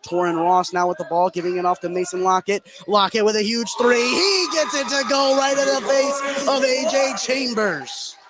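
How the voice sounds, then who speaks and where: An American man doing live radio basketball play-by-play, getting excited on big plays. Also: extremely high pitch, moderate pace, few pauses, loud music behind the voice. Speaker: play-by-play announcer.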